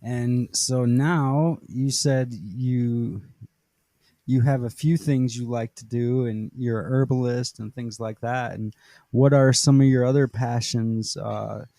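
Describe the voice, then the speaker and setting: Mellow-voiced man hosting a podcast. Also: mid-range voice, fairly even intonation, dry recording. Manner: halting, many uhs and likes. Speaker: podcast host. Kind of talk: casual interview.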